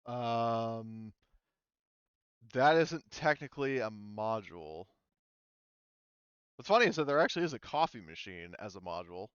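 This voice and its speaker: A man running a tabletop roleplaying game, casually explaining rules in a halting, rambling, self-interrupting way. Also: mid-range voice, expressive, a bit bright, clean tone, dry recording, lo-fi sound. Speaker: game master.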